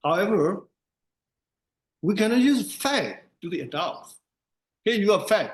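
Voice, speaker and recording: A man's voice: high-pitched, lively intonation, moderate pace. A man from Myanmar with a Burmese accent, a monk giving a casual teaching talk and repeating phrases for emphasis. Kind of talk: casual dharma talk lesson.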